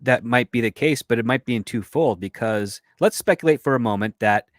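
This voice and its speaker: A man's voice: medium pitch, a little fast, dry recording. Male commentator, middle register, very dry recording, conversational opinion delivery with dramatized emphasis. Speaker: panel guest, commentator.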